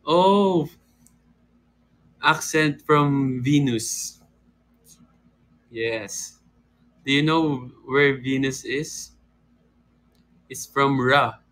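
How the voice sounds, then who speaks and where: A man's voice: medium pitch, drawn-out words, long pauses, fairly bright tone, rough recording. A young man hosting a casual phone livestream from home, chatting with viewers and breaking into playful sung lines. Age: young adult. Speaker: livestream host. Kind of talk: casual livestream chat with singing. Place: home room indoors. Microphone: phone camera close up.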